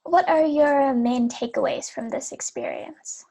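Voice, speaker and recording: A woman interviewer asking measured questions from a distance. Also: high-pitched, animated, vocal fry, dark tone, breathy edge.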